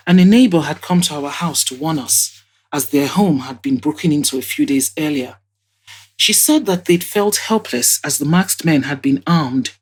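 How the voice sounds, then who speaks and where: A woman's voice: low voice, a little mellow, slightly rough. A woman voicing a chatty, scornful female witness in an audio drama, telling things emphatically with indignant asides.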